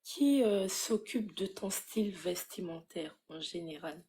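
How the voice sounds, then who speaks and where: Slow, teasing female interviewer. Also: lowish voice, dark tone, a little hiss or hum. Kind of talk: interview questions.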